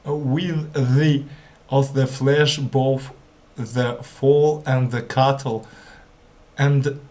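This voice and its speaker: A man's voice: medium pitch, fairly even intonation, drawn-out words, warm tone. A man who is not a native English speaker reads scripture aloud as a learner, hesitating, stumbling and repeating words. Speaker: language learner. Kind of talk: halting read-aloud of scripture.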